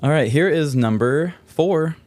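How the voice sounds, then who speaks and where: A man's voice: on the high side, unhurried. A man co-hosting a podcast movie quiz in casual chat.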